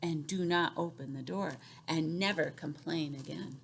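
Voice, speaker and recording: A woman voicing a wise old village woman who gives measured, careful advice. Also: sits low, vocal fry, slight background noise, pitched below the performer's own voice. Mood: instructive. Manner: measured, advising.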